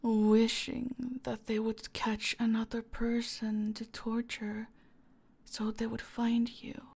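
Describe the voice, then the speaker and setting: Woman acting a slow, hushed female mafia boss. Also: on the high side, little pitch movement, heavily acted. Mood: menacing, hushed. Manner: slow, hushed, condescending, often dropping to breathy whispers. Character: dominant female mafia boss, menacing, teasing, coolly controlled. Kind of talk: intimate audio roleplay acting.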